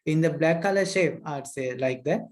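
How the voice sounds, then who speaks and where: A man's voice: a little high, normal speed. A man with a Sri Lankan accent teaching an English lesson. He poses rhetorical questions, tags lines with "right?", and repeats his key points. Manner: asks rhetorical questions and adds "right?" checks. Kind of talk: spoken lesson, lecturing students.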